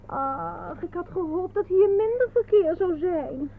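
An actress playing a coy, dreamy, affected miss in a comic radio play, sighing and fussing in a plaintive, gushing way. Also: female voice, extremely high pitch, animated, measured pace. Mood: fussy, coy. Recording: tinny, noisy old radio play recording.

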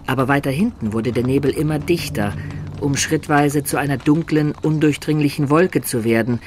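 An actor playing an adventurer who recounts his journey in a radio drama, in measured literary narration. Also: medium pitch, a little hiss or hum, lightly acted. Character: male adventurer recounting his long journey, composed storyteller. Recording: faint music under the narration.

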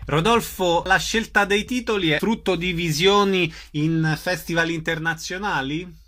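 A man's voice: high-pitched, measured pace, sharp tone. A man working as an interviewer, putting questions and giving brief acknowledgements.

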